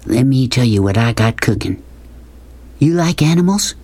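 An audiobook narrator voicing a large, loud Southern man, jovial and bossy, with a folksy, hollering manner. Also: mid-range voice, animated, husky. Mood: sly, coaxing. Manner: hollering, folksy rural speech, rapid-fire questions. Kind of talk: audiobook character dialogue.

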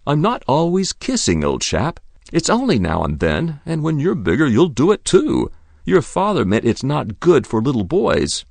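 A man's voice: on the high side, wildly swooping pitch, moderate pace, gravelly, a little mellow, dry recording, pitched above the performer's own voice, full character voice. A man reading aloud who voices a good-natured young army officer, hearty and coaxing.

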